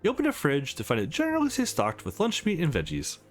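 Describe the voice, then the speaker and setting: Man with very animated pitch, over music. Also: fast talker. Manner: casual, stumbles and corrects himself while reading. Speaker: let's play host. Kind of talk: reading a visual novel aloud with casual asides.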